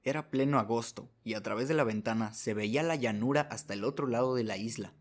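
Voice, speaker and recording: A man's voice: mid-range voice, fast talker. A man narrating a short story as a scripted radio drama, reading as the narrator.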